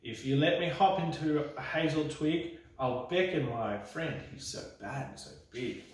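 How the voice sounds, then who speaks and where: A man's voice: a little high, unhurried, lightly acted. A man voicing a small, cunning, bargaining mouse in a persuasive storybook read-aloud style. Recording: echoey room, distant mic.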